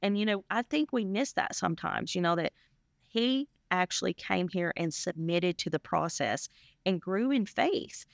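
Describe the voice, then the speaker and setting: A woman's voice: lowish voice, lively intonation, normal speed, gravelly, no room sound, clean studio sound. A woman interview guest giving casual personal testimony in an earnest, emphatic way.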